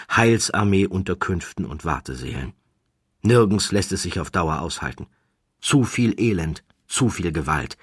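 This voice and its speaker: Male audiobook narrator, very low husky voice, measured literary delivery. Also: no echo, studio quality.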